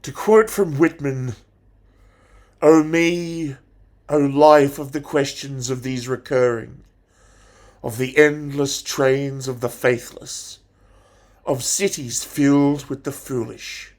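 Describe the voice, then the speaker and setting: A man playing a passionate, theatrical English teacher in a dramatic monologue, declaiming deliberately with pauses. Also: medium pitch, steady tone, darkish tone, lightly acted. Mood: earnest, hushed passion. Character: passionate, theatrical male English teacher rousing his pupils.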